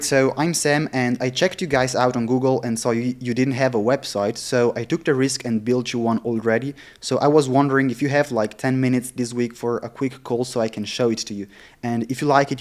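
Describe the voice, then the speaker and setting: A man's voice: medium pitch, steady tone, a little fast, darkish tone. A man who speaks English as a non-native language, with Italian or German as his stronger tongue, talking casually and candidly as a vlogger. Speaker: vlogger practicing sales cold calls. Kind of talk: casual vlog talk.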